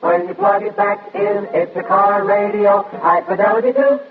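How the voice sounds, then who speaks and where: A man pitching a product in a vintage 1950s radio advert with a jingle style. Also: normal speed. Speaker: car advertisement announcer. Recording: muffled old radio, loud jingle music.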